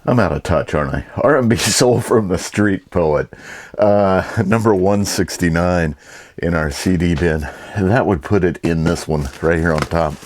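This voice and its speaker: A man's voice: sits low, measured pace. A man giving a casual, rambling and easygoing vlog talk as an online reseller.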